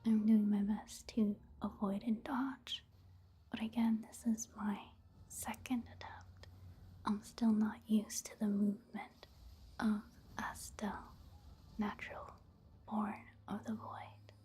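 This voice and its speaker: A woman doing soft-spoken ASMR game commentary in a hushed, breathy whisper, gentle and unhurried. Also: mid-range voice.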